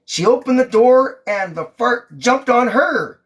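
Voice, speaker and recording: Female storybook reader, unhurried and animated, expressive delivery for young listeners. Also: a little high. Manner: expressive, acting out characters' lines. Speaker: mother reading to her daughter on a livestream. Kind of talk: storybook read-aloud.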